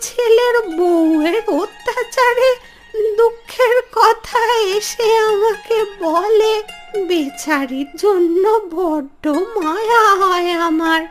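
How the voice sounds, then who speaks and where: A woman voicing a stubborn, nostalgic, kind-hearted elderly village grandmother in a cartoon, speaking in a slow, plaintive, drawn-out way. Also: very high voice, soft background music. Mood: wistful. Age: older. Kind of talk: cartoon story dialogue.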